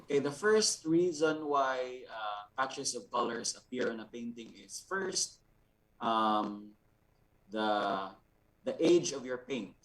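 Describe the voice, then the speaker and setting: A man with a Filipino accent teaches in a mid-pitched voice, heard a little far from the microphone. He explains deliberately as an instructor, often repeating words and adding small check-in tags. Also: animated. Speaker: art instructor. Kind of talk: explanatory lesson with examples. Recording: distant, off-mic sound.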